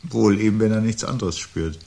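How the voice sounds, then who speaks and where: An actor playing a brooding, dryly ironic man in a literary radio play, speaking formal, measured lines with a husky voice. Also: fairly low pitch, a little mellow. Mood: dry, musing. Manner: measured, dry, articulate, formal. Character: brooding, dryly ironic man awaiting looming catastrophe at home.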